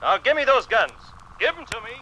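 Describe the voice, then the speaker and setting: A man's voice: extremely high pitch, big pitch swings, fairly quick, bright, forceful tone, slight background noise, heavily acted, rough recording. An actor playing a stern, bossy frontier lawman in a 1950s radio drama. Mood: stern, commanding. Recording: 1950s radio broadcast from vinyl record.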